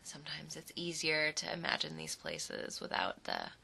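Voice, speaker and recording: Low-pitched, creaky-voiced woman guiding meditation. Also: huge pitch swings, pushed and bright, in a whisper. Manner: soothing, unhurried, with short phrases and pauses. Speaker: guided relaxation narrator. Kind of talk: guided imagery meditation.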